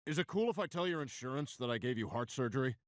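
A man voicing a flippant, blunt side character in an adult animated sitcom. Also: mid-range voice, fast talker, natural voice.

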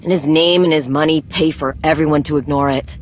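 A woman's voice: low voice, steady tone, fairly quick, slight background noise, natural voice. A woman playing a stern, vengeful warrior commander in a video game, speaking in terse, weighted lines. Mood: stern, bitter. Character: grim, vengeful female Mandalorian warrior commander. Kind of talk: video game dramatic acting.

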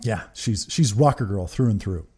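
A man's voice: lowish voice, expressive, moderate pace, mellow, soft background music. A man, giving casual, enthusiastic, sweary commentary as a reaction video host. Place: small room at a desk, indoors. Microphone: large desk microphone, close.

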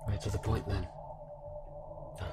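An actor playing a sly, resigned captive villain in an audio drama, speaking in a sardonic, theatrical way. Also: male voice, fairly low pitch, little pitch movement, measured pace, background noise, close to an everyday voice. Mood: taunting.

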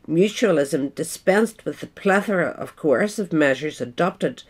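Woman reading an essay in measured tones. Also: low-pitched, expressive, slightly rough. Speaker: audiobook reader. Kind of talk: scripted narration of an essay.

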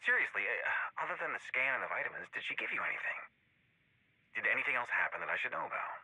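A man's voice: mid-range voice, very animated, quick pace, sharp tone, slightly rough, no echo, heavily acted, rough recording. Intense, fretful male agent. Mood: worried, suspicious. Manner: earnest, fretful. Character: anxious, suspicious male agent and devoted expectant partner. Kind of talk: naturalistic game dialogue.

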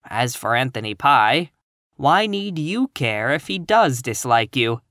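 A reader voicing Marilla, a brisk, stern older woman who speaks in a measured, matter-of-fact way. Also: medium pitch, fairly bright tone, no room sound, studio quality, natural voice.

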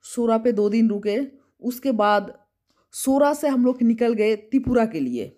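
A fairly high-voiced woman from West Bengal talks her way through a road trip in easy, chatty narration, ticking off places and expenses. She films herself on a phone held at arm's length from the car and the roadside. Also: mellow. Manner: chatty, listing places and costs. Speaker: travel vlogger.

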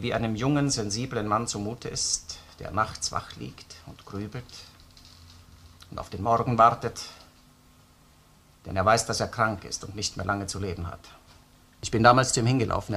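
Forceful, husky Austrian police detective. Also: male voice, on the low side, fairly even intonation, heavily acted. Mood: grave, accusing. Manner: probing, insistent, sardonic, deliberate phrasing. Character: relentless male Austrian police detective interrogating a suspect. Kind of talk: German-language crime radio play acting.

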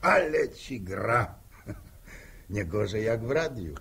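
An actor playing an easygoing older village farmer in an old radio drama, with a husky voice and rustic phrasing. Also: male voice, fairly low pitch, sing-song, a bit bright, full character voice. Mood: relaxed, folksy. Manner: unhurried, rustic folksy phrasing. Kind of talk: 1960s radio drama acting.

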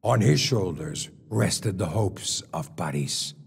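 Deep, gravelly epic narrator. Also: male voice, animated, full character voice. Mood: solemn. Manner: solemn, deliberate. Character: grave male narrator of an ancient war epic. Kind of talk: dramatic scripted narration. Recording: loud music behind. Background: music.

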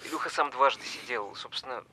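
A man's voice: medium pitch, brisk, pushed and bright, slight background noise. An actor playing a hard-up small-time scammer and young father, awed and sheepish, using hesitant street slang in an audio film. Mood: sheepish, confessing.

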